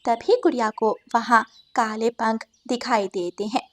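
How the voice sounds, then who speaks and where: A woman's voice: on the high side, fairly even intonation, unhurried. A woman reading scripted narration for a children's moral story as the storyteller.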